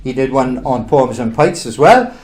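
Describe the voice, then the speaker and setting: A man's voice: mid-range voice, animated, moderate pace, darkish tone. A man introduces a poem in a chatty way, then reads it in a raised, declaiming voice. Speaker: poetry reader at open mic. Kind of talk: introducing and reading a poem aloud.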